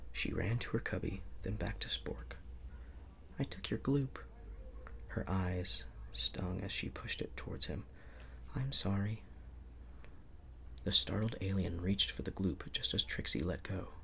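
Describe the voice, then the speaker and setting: A man reading a bedtime story in a hushed, soothing ASMR narration. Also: low voice, fairly even intonation, normal speed, vocal fry. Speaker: storybook reader.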